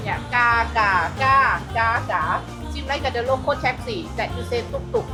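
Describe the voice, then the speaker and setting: A woman with a Thai accent guides a food tour, describing each dish in simple, friendly English. Her voice sits high. Loud music plays in the background. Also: moderate pace. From Thailand. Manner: chatty, explains dishes in simple English. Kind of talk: guiding explanation.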